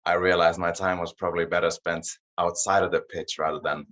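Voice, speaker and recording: Finnish man, 30s, very deep, modest interview guest, muffled lo-fi sound. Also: flat delivery, fairly quick, a bit bright. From Finland. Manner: modest, repeats words while searching for them. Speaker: guest, performance coach. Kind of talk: interview answers. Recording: dull lo-fi audio.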